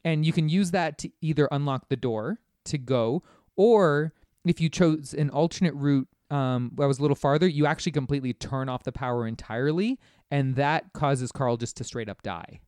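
A man's voice: fairly high pitch, normal speed, darkish tone, smooth, no room sound, studio quality. A man in casual podcast conversation, recounting things in detail as he talks about a video game. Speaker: podcast guest or host discussing a video game. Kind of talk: casual chat.

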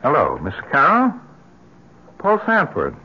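A man's voice: on the high side, huge pitch swings, measured pace, bright, forceful tone, a little hiss or hum, heavily acted. An actor playing a patient, resigned middle-aged husband and bereaved father, earnest and reasoning, in old-time radio drama style. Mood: puzzled. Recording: muffled vintage radio broadcast.

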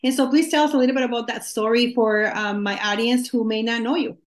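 Woman hosting her podcast, upbeat intro vibe, kinda high voice. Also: fairly even intonation, a little fast. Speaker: podcast host. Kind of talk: podcast interview.

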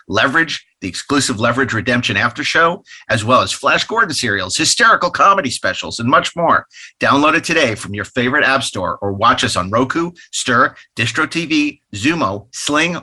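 A man reading podcast promotions in a brisk, upbeat ad-read style. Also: mid-range voice, sharp tone, breathy edge. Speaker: podcast announcer.

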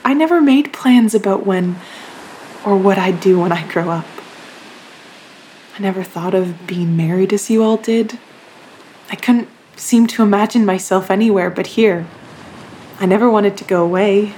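A young woman playing a gentle, gravely ill nineteen-year-old sister in a period audio drama, soft-spoken, halting and earnest in her resignation. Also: medium pitch, slight background noise, lightly acted, rough recording. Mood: resigned, wistful.

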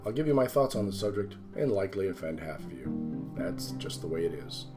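A man's voice: deep voice, big pitch swings, brisk, over loud music. A man hosting his own podcast, talking loosely and rambling a bit, stuffy from a cold. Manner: loose, rambling, self-correcting. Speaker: podcast host. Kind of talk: casual podcast talk.

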